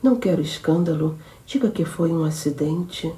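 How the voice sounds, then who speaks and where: A woman's voice: deep voice, lively intonation, moderate pace, dark tone, far from the mic. Woman reading a wounded man's urgent murmur. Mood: pained urgent. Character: wounded young man, pained, urgent, keeping calm.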